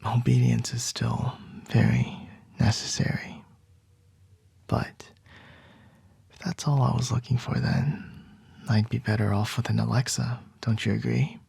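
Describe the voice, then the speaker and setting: A man voicing a commanding male CEO boss in an ASMR roleplay, speaking in soft, measured whispers. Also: medium pitch, level tone, creaky, slightly rough, no room sound, heavily acted.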